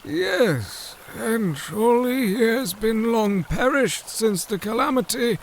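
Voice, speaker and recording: An elderly, learned royal advisor of a fish people, speaks in a high voice. He is formal and grave, taking his time over every phrase like a keeper of old lore. It is fantasy audio drama, with faint noise in the background. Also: animated, exaggerated voice. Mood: gently correcting. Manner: formal, deliberate, solemn storyteller.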